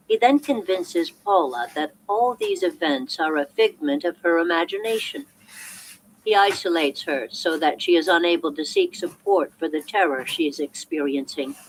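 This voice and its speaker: A woman reading a self-help audiobook in a measured, clearly articulated and emphatic way. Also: fairly low pitch, slight background noise, rough recording. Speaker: audiobook narrator.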